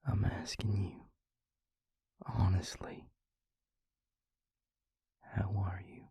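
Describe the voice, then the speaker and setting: A man playing a tender, weary vampire lover in an intimate audio roleplay, speaking haltingly in a hushed, breathy, gravelly voice. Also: deep voice, no room sound, dull audio, heavily acted. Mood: tender, earnest. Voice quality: breathy, husky, gravelly half-whisper. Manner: hushed, halting, with long pauses.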